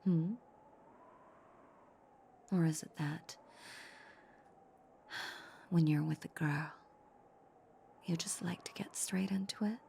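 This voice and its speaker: Female vampire played by a woman, possessive and teasing; unhurried, dark breathy tone, intimate audio drama. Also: low voice, steady tone, a little hiss or hum, heavily acted. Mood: teasing, seductive. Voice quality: breathy, often half-whispered. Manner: slow, teasing, condescending purr. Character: seductive, possessive female vampire, teasing her human captive. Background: soft ambient sound effects.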